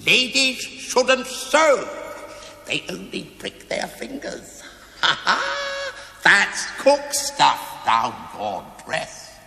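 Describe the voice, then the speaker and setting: An actor playing a drunken, irreverent British stonemason in a television play, declaiming grandly and rapturously. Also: male voice, high voice, wildly swooping pitch, drawn-out words, creaky, sharp tone, full character voice. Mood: maudlin, mocking. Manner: declamatory, wildly swooping, grandiloquent. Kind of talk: theatrical television play acting. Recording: echoey hall, long reverb.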